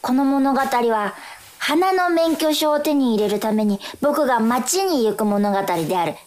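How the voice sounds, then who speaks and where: A woman acting a dreamy, unsure young boy in a radio drama, musing and repeating phrases haltingly. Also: high-pitched, expressive, darkish tone, slight background noise. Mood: reflective. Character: dreamy young boy Hana, unsure and wondering about life. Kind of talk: theatrical radio drama monologue. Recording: music under the voice.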